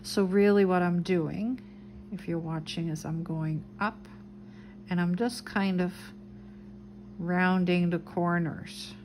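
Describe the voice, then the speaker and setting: A woman with a gentle Dutch accent narrates her crafting in an unhurried, low-key way. Her voice sits a little low and her pace is very slow. A clear background hiss runs under the recording. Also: steady tone. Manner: soft, unhurried, describes each step as she draws. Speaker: craft video host. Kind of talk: relaxed craft tutorial narration.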